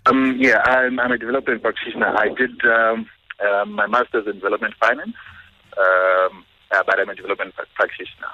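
A man's voice: lowish voice, fairly even intonation, brisk, sharp tone, highs cut off, soft background music. A man, calling in to a radio show, easygoing and a bit hesitant. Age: 30s. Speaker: caller.

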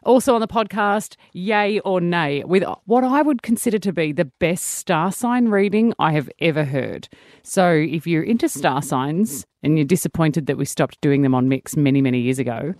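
A woman co-hosting a radio show, casual and wry, with a deep voice for a woman. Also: darkish tone, no room sound, clean studio sound. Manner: wry, self-deprecating. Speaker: radio co-host.

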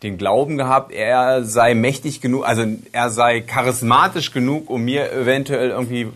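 A man's voice: medium pitch, steady tone, moderate pace, fairly bright tone. Male journalist recounting a story. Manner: storytelling, hesitant with fillers. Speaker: investigative journalist, guest. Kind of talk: podcast conversation.

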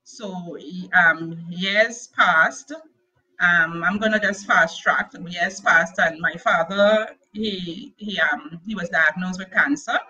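A woman from Tobago with a Tobagonian accent tells her life story as an interview guest. Her voice is very bright and forward. She speaks gently, pausing often with fillers and restarting her sentences, and the recording sounds a little dull. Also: fairly low pitch, breathy edge. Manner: soft-spoken, hesitant with many fillers and restarts. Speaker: guest sharing her testimony. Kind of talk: personal testimony interview.

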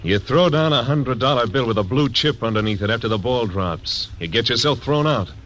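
An actor playing a hard-bitten frontier marshal, narrating tersely in a 1940s radio drama. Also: male voice, medium pitch, expressive, brisk, a bit bright, a little hiss or hum, lightly acted, poor audio. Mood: grim. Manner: terse, grim first-person narration. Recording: old radio broadcast with music.

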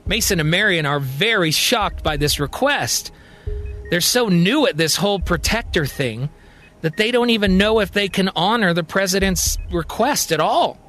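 High-voiced man narrating a story. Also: lively intonation, moderate pace, fairly bright tone. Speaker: storyteller, podcast host. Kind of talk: dramatic scripted narration. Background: music.

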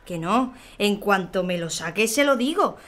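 An actress playing a chatty, nervy young student learner driver with slangy speech, in a naturalistic audio drama. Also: female voice, medium pitch, lively intonation, moderate pace, a bit bright, lightly acted. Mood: excited, secretive. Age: young adult.

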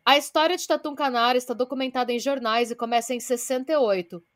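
A woman's voice: high voice, fast talker. A Brazilian woman co-hosting a podcast, retelling a story from her research in a blunt, casual way with some swearing. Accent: Brazilian Portuguese. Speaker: podcast co-host.

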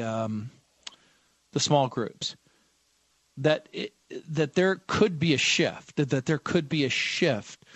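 A man's voice: medium pitch, no echo. A man hosting a radio talk show, speaking thoughtfully and quoting scripture. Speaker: radio host.

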